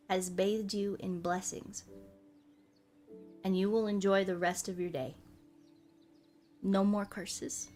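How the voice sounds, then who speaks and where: A woman's voice: mid-range voice, sharp tone. A woman livestreamer chatting casually in a playful manner, often repeating her phrases for comic effect. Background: background music.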